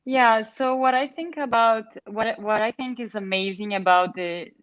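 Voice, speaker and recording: A woman's voice: a little high, normal speed, fairly bright tone, smooth, no room sound, dull audio. A woman with a Brazilian accent, an international model speaking as a panelist in a casual video call discussion, chatty and enthusiastic with many fillers.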